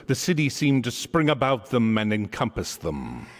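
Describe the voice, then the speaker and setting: A man's voice: mid-range voice, big pitch swings, normal speed, close to an everyday voice. A man narrating a radio drama with scripted, dramatic storytelling. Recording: reverberant hall sound.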